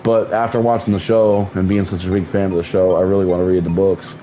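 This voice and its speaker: A man's voice: sits low, little pitch movement, fast, warm tone, faint music behind, low-quality recording. A man streaming a game, chatting casually in a rambling way with many restarts and casual swearing, sounding like he is losing his voice. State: losing his voice, mouth numb. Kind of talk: casual gameplay commentary.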